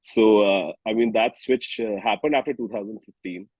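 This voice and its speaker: A man's voice: fairly low pitch, moderate pace, husky, no room sound, muffled recording. A man in his thirties with an Indian accent, chatting casually as a musician being interviewed. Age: 30s. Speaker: singer-songwriter and musician interview guest.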